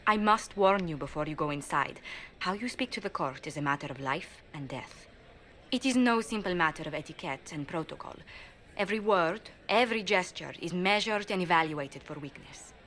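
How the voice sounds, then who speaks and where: An actress playing a poised court diplomat who gives measured, formal warnings in a game cutscene. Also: female voice, on the low side, expressive, a bit bright, slight background noise. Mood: earnest. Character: poised, careful female court diplomat, adult. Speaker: diplomatic adviser. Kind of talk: video game cutscene dialogue.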